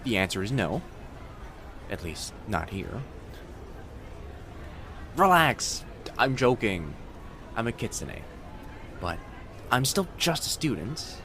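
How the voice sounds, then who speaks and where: A man voicing a friendly, gently teasing young fox-spirit college student in an immersive roleplay audio, speaking casually and reassuringly to the listener. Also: fairly low pitch, sing-song, slow pace, long pauses, slight background noise, exaggerated voice. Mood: friendly, joking. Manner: soft-hearted, reassuring, casual conversational delivery. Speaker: voice actor.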